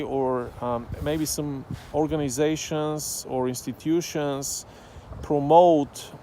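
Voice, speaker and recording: A man from Bulgaria, whose first language is Bulgarian, talks slowly and thoughtfully, filling his pauses with "um". His voice is mellow and dark, at a middling pitch. He is recorded outdoors, with faint traffic behind him. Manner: slow, thoughtful, many "um" pauses. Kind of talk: casual talk to camera.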